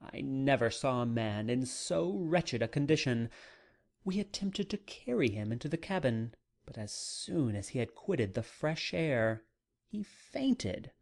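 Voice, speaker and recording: An audiobook narrator reading classic literature dramatically, with measured and deliberate phrasing. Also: medium pitch, very animated, creaky, darkish tone.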